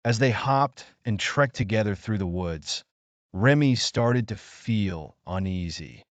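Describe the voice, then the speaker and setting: A male storyteller reads a children's tale in a measured, expressive way. His voice sits a little low but rises and falls widely, and the recording is dry with no room sound. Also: no room sound. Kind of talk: scripted children's story narration.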